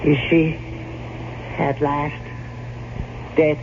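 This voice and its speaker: An actor playing a fearful, superstitious manservant in an old radio horror play, halting with dread. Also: male voice, fairly high pitch, flat delivery. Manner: halting, dread-filled, dramatic pauses. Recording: noisy, muffled old radio broadcast recording.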